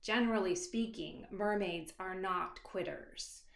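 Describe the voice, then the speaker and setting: Slow, expressive woman reading a storybook, echoey. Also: mid-range voice. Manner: dramatic, dropping to hushed asides and stretched words; pauses to address young listeners with questions. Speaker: author reading her own picture book. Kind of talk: expressive children's storybook read-aloud. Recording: echoey, reverberant room.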